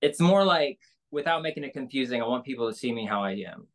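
A woman singer with a low speaking voice, talking candidly and loosely with many fillers in an interview. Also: lively intonation, quick pace. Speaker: singer-songwriter guest. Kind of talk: casual interview conversation.

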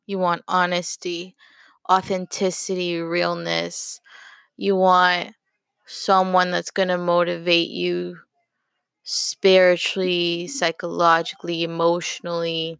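A slightly hoarse woman hosting a casual solo podcast, talking earnestly and rambling with lots of fillers. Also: on the low side, monotone, takes it slowly, fairly bright tone, no room sound. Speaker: podcast host.

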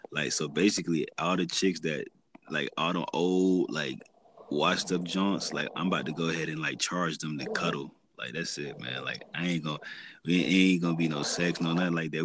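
A man's voice: extremely low pitch, fairly bright tone, a little hiss or hum. A man in casual podcast chat, talking slangy and laid-back with lots of fillers.

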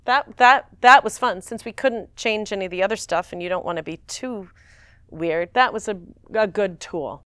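A woman in her forties, an actress guest, talking in a rambling, animated way and laughing often. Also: medium pitch, moderate pace, clear, resonant tone, dry recording. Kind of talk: casual interview chat.

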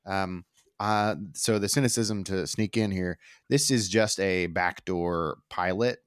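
A man chatting casually as a podcast guest, halting and circling back to his point. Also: deep voice, fairly even intonation, clean tone, no echo, studio quality. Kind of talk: casual chat.

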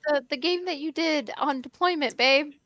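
High-voiced woman teasing her partner, dry sound. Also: normal speed, pushed and bright, dry recording. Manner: teasing, storytelling, hesitant restarts. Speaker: podcast co-host. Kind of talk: casual group chat.

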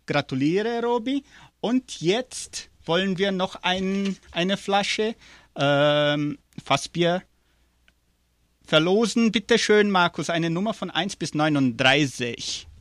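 A man's voice: high voice, lively intonation, slow, fairly bright tone, no echo. A man hosting a live interview and prize draw, chatty and upbeat with frequent hesitations. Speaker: radio host.

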